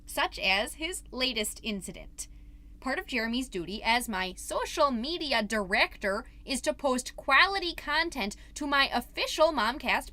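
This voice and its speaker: A woman's voice: high-pitched, sharp tone, full character voice. A woman playing a chatty, wholesome suburban mom podcaster, doting and easily exasperated, in a scripted comedic monologue. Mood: fond, fussy. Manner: chatty, dramatic, folksy minced oaths.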